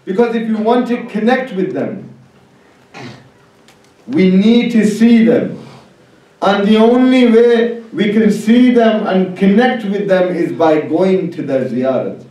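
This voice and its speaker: A man's voice: sits high, animated, slow, mellow, far from the mic, muffled recording. A man preaching an Islamic sermon to a gathering, urging listeners on with rhetorical questions. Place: religious hall, public address. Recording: very echoey hall, off the mic.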